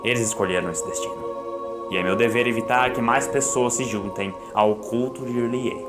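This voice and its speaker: Loud music surrounds a man playing an imperious male god of dreams in an audiobook drama. He declaims in grave, archaic phrases, defiant and commanding, at a medium pitch. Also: steady tone, moderate pace, close to an everyday voice. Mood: cold, disdainful.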